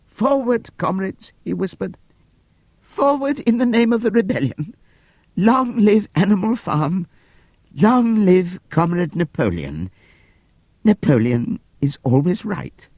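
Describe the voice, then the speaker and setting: A man's voice: high voice, big pitch swings, slow, darkish tone, dry recording, clean studio sound, voice pushed up, exaggerated voice. A man voicing an oily, falsely tearful pig propagandist in an audiobook, mournful, theatrical and sanctimonious. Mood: reverent, mournful.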